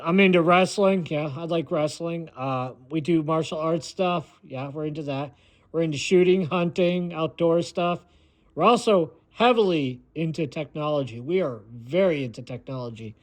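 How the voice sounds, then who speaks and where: An American man giving a rambling, opinionated monologue in a blunt, dismissive way, often halting and repeating himself. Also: on the high side. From United States. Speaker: video maker.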